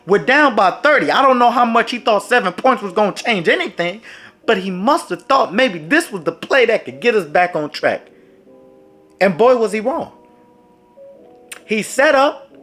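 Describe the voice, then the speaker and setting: A man telling a dramatic sports story in a casual storytime vlog, talking straight to his viewers. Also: high voice. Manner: dramatic, animated, speaks to viewers directly. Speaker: storyteller vlogger. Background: faint background music.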